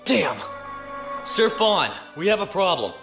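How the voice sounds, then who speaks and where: A man voices a flustered young naval officer under pressure. His voice is high and jumpy, with a loud music score swelling behind it. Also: big pitch swings, slow, gravelly, full character voice. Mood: urgent. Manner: urgent, flustered. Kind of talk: audio drama acting.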